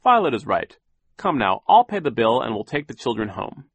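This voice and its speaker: A man's voice: medium pitch, very animated, normal speed, fairly bright tone, slightly rough, dry recording, full character voice. A man voicing a fussy, long-winded male banker who talks on and on. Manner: fussy, droning.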